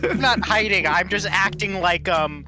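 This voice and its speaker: Higher-voiced man playing a tabletop game. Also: normal speed. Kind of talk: casual game-table chat. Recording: music behind the voice.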